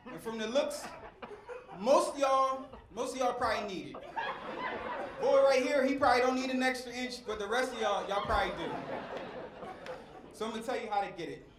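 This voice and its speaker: A man's voice: very high-pitched, brisk, pauses often. A middle-aged man from West Philadelphia doing stand-up, working the crowd in a preacher-like cadence. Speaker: stand-up comedian. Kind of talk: stand-up set. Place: comedy club stage. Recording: echoey club with faint crowd noise.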